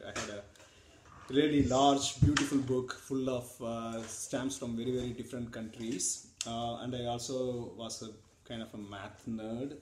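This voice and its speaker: A man from India, a father answering his child's interview questions in a relaxed, thoughtful way with many pauses and fillers. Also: on the low side, reverberant, slight background noise. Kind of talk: casual family interview answers.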